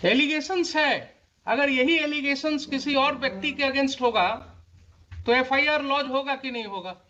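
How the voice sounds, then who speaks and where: A man's voice: very high voice, moderate pace, bright, forceful tone. A man with an Indian accent speaking as a judge in court, stern and admonishing, asking pointed rhetorical questions and mixing Hindi with English. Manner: switches between Hindi and English. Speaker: judge presiding over a court hearing. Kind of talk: courtroom questioning and oral directions. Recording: muffled courtroom audio, faint noise, clicks.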